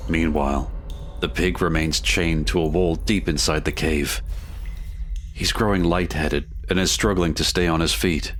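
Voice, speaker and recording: A man's voice: extremely low pitch, fairly even intonation, unhurried, noisy background. A man narrating a gothic horror audio drama in scripted present tense, setting each scene.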